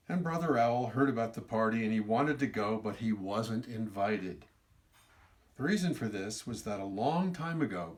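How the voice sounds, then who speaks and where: A man telling a children's story as a storyteller, reading aloud expressively, stretching words for emphasis and adding explanatory asides. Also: fairly low pitch. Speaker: storyteller reading his own book.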